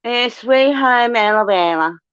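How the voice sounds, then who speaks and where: A woman's voice: on the high side, lively intonation, unhurried, fairly bright tone, smooth, dry recording, lo-fi sound. A woman from Australia with an Australian accent, chatting casually, blunt and swearing freely as she tells stories. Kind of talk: casual chat.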